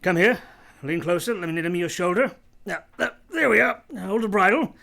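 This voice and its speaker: An actor playing a proud, brusque gentleman master of a house in a radio play, with a curt, imperious and halting manner. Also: high-pitched, gravelly, full character voice. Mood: gruff, commanding.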